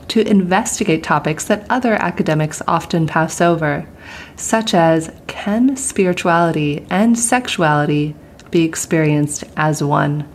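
A woman's voice: on the low side, darkish tone, a little hiss or hum. A woman hosting a podcast interview, introducing her guest in an enthusiastic, upbeat way. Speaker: podcast host.

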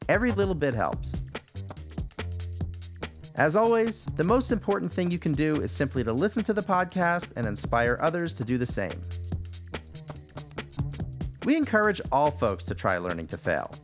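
Man reading a lively podcast intro over music. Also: a little high, normal speed, long pauses. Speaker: podcast host. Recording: music bed behind the voice.

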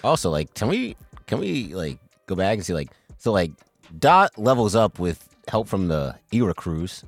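A man's voice: deep voice, moderate pace, no room sound, soft background music. A man co-hosting a podcast in casual chat, filling his talk with "like" and laughing often. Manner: lots of "like", laughs often, lively. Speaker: podcast co-host.

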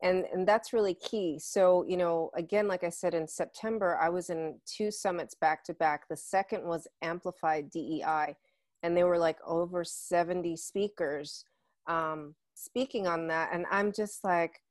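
A woman hosts a podcast interview in a chatty way, filling her talk with little filler words. Her voice sits low for a woman. The recording is dry, with no room echo. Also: steady tone, normal speed. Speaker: podcast host.

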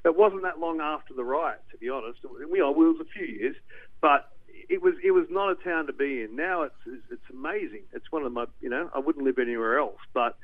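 A middle-aged man with an Australian accent, from Newcastle, talking as an interview guest, animated and rambling with restarts. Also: a little high, fairly quick. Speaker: guest, documentary director. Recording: tinny phone line.

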